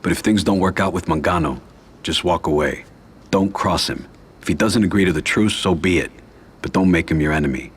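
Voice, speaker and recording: An actor playing a composed, cautious middle-aged mafia boss with quiet command, in a video game cutscene. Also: male voice, low voice, a little fast, husky. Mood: cautionary.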